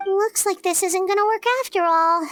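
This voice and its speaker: A woman voicing a tiny, childlike, earnest fairy companion in a video game, squeaky in tone. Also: fairly even intonation, normal speed, a bit bright. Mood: thoughtful, resigned. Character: tiny childlike floating companion, earnest, speaks in third person. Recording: music behind the voice.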